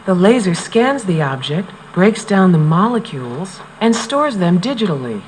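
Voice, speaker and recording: An actress playing a helpful young laser scientist, explaining things on a storybook cassette. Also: female voice, fairly low pitch, sing-song, moderate pace, darkish tone, low-quality recording. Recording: faint noise, old cassette transfer.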